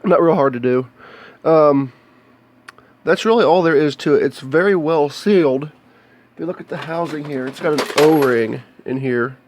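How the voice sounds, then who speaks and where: An American man talking casually and off the cuff as he shows electronics, explaining in a halting, plain-spoken way. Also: fairly high pitch, slight background noise. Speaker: hobbyist video maker showing electronics. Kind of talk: casual unscripted show-and-tell. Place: indoors, cluttered home workshop.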